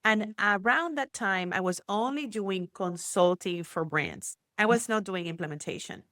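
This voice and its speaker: Puerto Rican woman, entrepreneur guest, chatty and animated, mid pitch, dry close sound. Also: unhurried, dry recording. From Puerto Rico. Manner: chatty, animated storytelling with many fillers. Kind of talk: casual interview chat.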